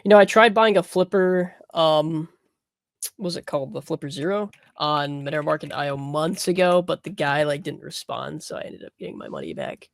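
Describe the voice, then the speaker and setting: Male panelist, higher-pitched with gravelly fry, casual delivery, dry sound. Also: expressive, a little fast, no echo. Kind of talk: casual chat.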